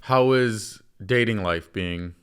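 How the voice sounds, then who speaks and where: Young male host, casual and chatty, lowish voice. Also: slow pace, clean tone. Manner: loose and chatty, lots of "like", swears. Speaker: podcast host. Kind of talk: casual chat.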